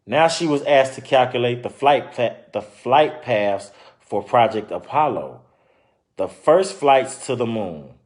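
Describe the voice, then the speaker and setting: A man's voice: medium pitch, expressive, unhurried, a little mellow. A man reading a children's book aloud, breaking off into informal, conversational explanations and repeating points. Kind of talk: read-aloud with casual explanatory asides.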